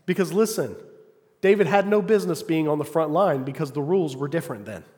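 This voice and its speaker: A man preaching a sermon, calling for "amen" from the congregation and swinging between loud, fervent passages and quiet asides. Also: a little high, a little fast. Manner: call-and-response, asks congregation "Amen?". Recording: long hall reverb.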